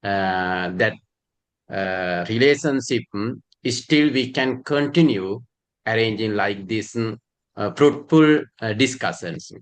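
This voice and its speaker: Slow, halting man on a video call. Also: fairly low pitch, expressive. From Sri Lanka. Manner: halting, many hums and uh fillers. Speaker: panelist, university lecturer. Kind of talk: formal self-introduction on a video call.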